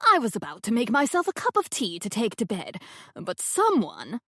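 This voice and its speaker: A woman's voice: on the high side, wildly swooping pitch, normal speed, sharp tone, no echo, studio quality, full character voice. A woman voicing a haughty, bossy older lady who commands everyone politely. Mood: sweetly bossy. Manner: imperious, sweetly manipulative.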